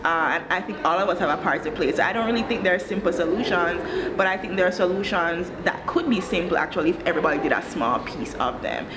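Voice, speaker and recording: A woman from Jamaica in her thirties, an education director answering interview questions in a thoughtful, articulate, measured way. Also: on the low side, expressive. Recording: background noise.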